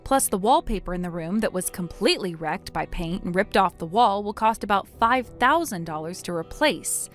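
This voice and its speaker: A woman's voice: mid-range voice, expressive, moderate pace, hardly any pauses, soft background music, close to an everyday voice. A woman voicing a wronged bride in a melodramatic story, stern and businesslike, scolding and explaining firmly. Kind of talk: acted dialogue in a melodramatic story.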